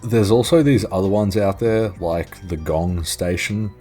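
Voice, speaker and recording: Very deep-voiced young man. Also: measured pace. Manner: informal, sweary, self-deprecating. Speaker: video narrator. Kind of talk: casual documentary narration with asides. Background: background music.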